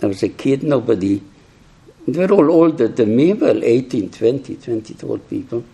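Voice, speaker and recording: An elderly man in his eighties or nineties giving a personal testimony to young people. He talks slowly and reflectively, in halting phrases with frequent restarts, and says he is hard of hearing. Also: mid-range voice, lively intonation, gravelly, mellow, husky. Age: older, 80s or 90s.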